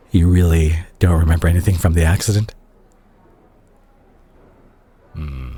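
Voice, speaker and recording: Man playing a deep, husky doctor ex-boyfriend. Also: warm tone. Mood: gentle, grave. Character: doctor ex-boyfriend, bitter, remorseful yet caring. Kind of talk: intimate scripted audio roleplay to the listener.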